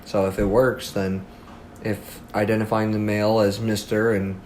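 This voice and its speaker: A man with a fairly low voice answers as an interviewee in an informal way. He is emphatic and circles back to repeat his points. Faint ambient noise sits behind him. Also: level tone, normal speed, darkish tone. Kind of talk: informal opinion giving. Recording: noticeable background ambient noise.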